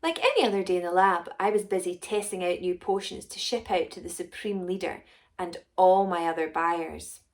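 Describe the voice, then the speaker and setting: A woman voicing a short, rich, excitable female alien potion maker in a children's story, self-important and exclamatory. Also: lowish voice, normal speed, natural voice. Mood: excited, proud. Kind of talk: first-person children's story narration.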